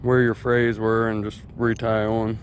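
A man's voice: fairly low pitch, monotone, a little slow. A man vlogging as he fishes at a quarry lake, talking in a casual, laid-back, drawling way while he casts, recorded on a head-mounted action camera. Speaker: fishing vlogger. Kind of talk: casual outdoor narration. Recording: faint outdoor noise.

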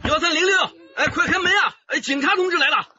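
Radio drama: flustered, deferential security guard, quick and high, slightly dull recording. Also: male voice, noisy background. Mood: urgent. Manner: stammering, deferential. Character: eager, flustered male building security guard.

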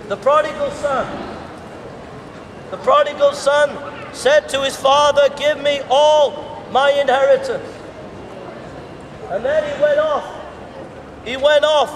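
Street preacher guy, really high voice, slow and repetitive, far off with echo and traffic noise. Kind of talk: open-air street sermon. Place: pedestrian shopping street outdoors. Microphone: far camera. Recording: roomy outdoor sound, distant reverb, clear street noise. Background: town street ambience and passing traffic.

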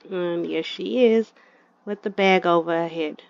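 A woman's voice: lowish voice, unhurried, gravelly. A woman giving chatty, casual commentary on her gameplay and talking to her game characters, in a slightly dull lo-fi recording. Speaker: gaming video creator.

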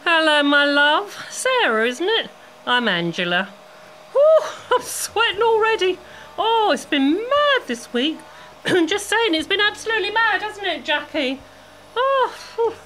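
A high, very animated salon owner, acted by a woman in an audio play. She is chatty and bustling, gossiping and gushing in a bright, forceful voice. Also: a little slow, slight background noise, full character voice, rough recording. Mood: flustered, effusive. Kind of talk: amateur audio play acting.

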